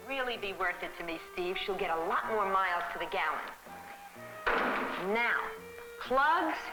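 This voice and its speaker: A woman's voice: medium pitch, big pitch swings, unhurried, rough recording. Bossy woman giving orders. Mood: commanding. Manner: clipped, decisive. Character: bossy, no-nonsense car owner giving orders. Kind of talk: 1970s educational film acting. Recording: 1970s film soundtrack with music.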